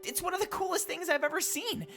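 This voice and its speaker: A woman voicing an excitable, cheeky young animal climber in a visual novel. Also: very high-pitched, quick pace. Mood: awed.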